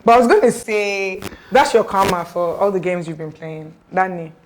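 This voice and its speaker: A woman's voice: mid-range voice, fairly even intonation, normal speed, a little hiss or hum, low-quality recording. Playful woman host. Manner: playful, teasing questions. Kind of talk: casual chat.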